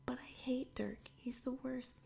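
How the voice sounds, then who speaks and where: A woman's voice: high voice, fairly even intonation, unhurried, vocal fry, dark tone, pitched above the performer's own voice, exaggerated voice. A woman whispering the lines of a nerdy, anxious young boy scientist in a storybook reading. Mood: upset.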